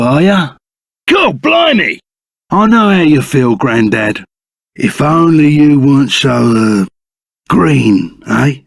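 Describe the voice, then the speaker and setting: An actor playing a gruff, loyal, blustering Cockney ex-bandit in a video game, full of rough, matey slang. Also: male voice, sits high, no room sound, clean studio sound, full character voice. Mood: indignant, blustering. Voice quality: gruff, gravelly. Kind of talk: video game character acting.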